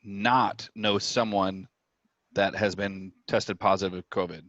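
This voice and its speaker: A man's voice: low-pitched, fairly even intonation, measured pace, fairly bright tone, dry recording, dull audio. A man chatting casually on a group call.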